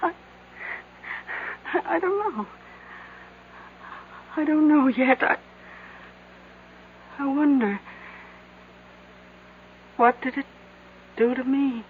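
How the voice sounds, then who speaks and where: An actress playing a shaken, haunted woman in old-time radio drama, speaking in halting wonder. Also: high-pitched, animated, exaggerated voice. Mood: dazed, eerie. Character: shaken, haunted sister, eerily convinced by a mountain legend. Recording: tinny phone-like vintage radio, faint hiss.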